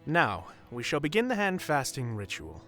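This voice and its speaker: A man playing a solemn, formal wedding officiant in a fantasy audio roleplay, speaking in stately, measured ceremonial proclamations. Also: on the high side, huge pitch swings, bright, forceful tone, soft background music, heavily acted. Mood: solemn, proclaiming.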